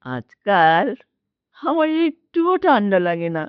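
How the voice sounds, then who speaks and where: A woman's voice: mid-range voice, big pitch swings, slow, smooth, no echo, muffled recording. A woman playing an elderly, half-blind, doting but scolding Bengali mother in a radio drama, with a plaintive, fussing manner. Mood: wistful, fond. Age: older.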